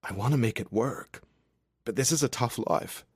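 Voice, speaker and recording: A man voicing an earnest, tender young male lover in an audiobook, speaking gently and haltingly. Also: mid-range voice, breathy edge, no room sound, clean studio sound, natural voice. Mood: earnest, pleading. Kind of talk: audiobook character dialogue.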